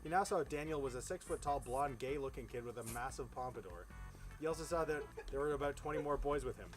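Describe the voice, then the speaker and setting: A man's voice: medium pitch, animated, quick pace, soft background music. A man casually reading a story aloud outdoors, stumbling over words and breaking off into informal, profane asides. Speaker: host reading fan fiction aloud. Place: outdoors beside a fire.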